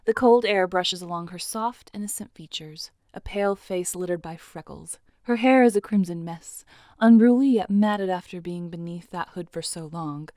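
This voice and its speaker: A woman's voice: on the low side, normal speed, darkish tone, no room sound, clean studio sound. A woman narrating an audio drama as scripted, dramatic storytelling.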